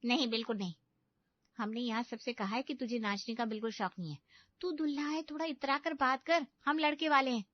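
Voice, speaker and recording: A woman playing a young, bossy elder sister in an animated comedy story, scolding and teasing with sarcasm. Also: a little high, brisk, no room sound, close to an everyday voice. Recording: dull, lo-fi studio voice-over.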